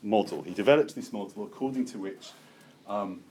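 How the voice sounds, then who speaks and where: A man with a fairly low voice leads an academic seminar. He speaks haltingly, often correcting himself as he works through ideas. There is noticeable room noise behind him. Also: a little mellow. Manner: halting, self-correcting, thinking aloud. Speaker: lecturer leading a seminar. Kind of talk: academic lecture with open discussion.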